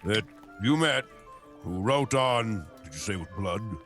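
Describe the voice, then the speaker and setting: A man playing a tabletop game in character, talking slowly and tersely with frequent hums. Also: on the low side, expressive, fairly bright tone, faint music behind. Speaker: player.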